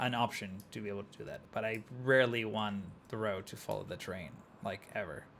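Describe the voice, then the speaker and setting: Gravelly man chatting over a city-building game. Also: mid-range voice, fairly bright tone, a little hiss or hum. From Argentina. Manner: rambling, chatty, talks to chat, many "like" fillers. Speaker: streamer. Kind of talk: casual gameplay commentary.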